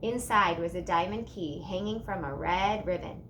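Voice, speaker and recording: A woman reading a children's storybook aloud in an expressive narrating style. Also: on the low side, normal speed, a little hiss or hum. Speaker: storybook reader.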